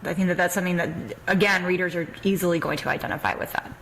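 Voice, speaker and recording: A woman's voice: fairly low pitch, steady tone, very fast, fairly bright tone, slight background noise, poor audio. A woman hosting a casual, conversational interview in an agreeable, affirming way. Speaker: podcast host interviewing an author.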